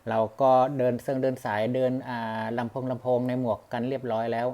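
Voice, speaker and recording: A man's voice: mid-range voice, level tone, fast talker, mellow. A man giving a casual, unscripted product demonstration as a vlogger, rambling and self-deprecating as he often addresses his viewers. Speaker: vlogger demonstrating motorcycle gear. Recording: clear ambient background noise.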